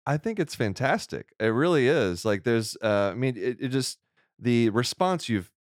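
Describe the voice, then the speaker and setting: A man's voice: mid-range voice, very animated, normal speed, no echo, studio quality. A man hosting a casual interview, chatty and joking, with frequent restarts. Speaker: podcast host.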